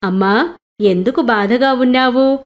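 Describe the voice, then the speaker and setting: A woman's voice: a little high, a little slow, close to an everyday voice. A woman voicing a devout, fatherly older merchant in an earnest way for a moral storybook tale. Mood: tender, remorseful. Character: devout wealthy merchant, fatherly older man with grown daughters-in-law.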